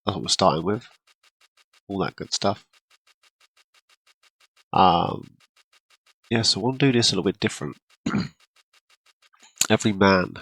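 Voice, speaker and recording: Casual gaming host with a cold: deep, gravelly, slow and unhurried, on a dry recording. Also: male voice, bright, forceful tone, dry recording, rough recording. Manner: rambling, self-deprecating, frequent throat clearing. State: congested from a cold, coughing. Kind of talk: casual gameplay commentary.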